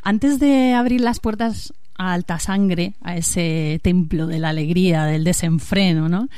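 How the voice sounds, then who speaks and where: A woman's voice: on the low side, unhurried, warm tone. A woman hosting a conversational literary interview on a podcast. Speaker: podcast host interviewing a writer.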